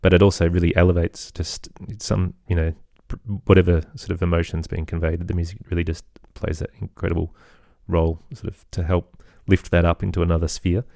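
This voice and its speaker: A man with an Australian accent, talking as an interview guest in an agreeable, conversational way with softened phrasing. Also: sits low, level tone, a little fast, gravelly, hardly any pauses, no room sound, clean studio sound. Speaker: podcast guest, musician and composer.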